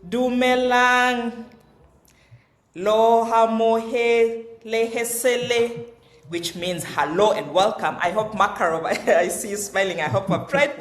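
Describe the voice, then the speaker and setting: A woman hosting a webinar, introducing each speaker in a formal, measured way. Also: a little high. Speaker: webinar host. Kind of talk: moderating a webinar. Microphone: video call. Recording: roomy echo.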